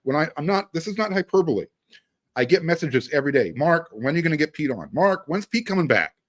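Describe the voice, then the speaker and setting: A man's voice: fairly high pitch, very animated, quick pace. Emphatic American man hosting sports talk. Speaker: sports podcast host. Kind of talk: casual sports interview chat.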